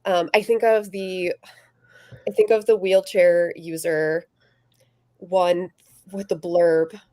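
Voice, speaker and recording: A woman's voice: lowish voice, steady tone, slow, mellow, no echo. A woman chatting casually and informally as a co-host, often acting out imagined exchanges in her talk. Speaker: book vlogger and podcast-style co-host. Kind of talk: casual chat.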